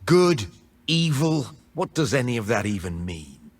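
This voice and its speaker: Video game rebel mage, escaped prisoner, male: rough-voiced, dramatic, deliberate, with big swings in pitch. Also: big pitch swings. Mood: menacing. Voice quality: husky, rough. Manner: dramatic, deliberate, menacing delivery. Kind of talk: theatrical video game voice lines.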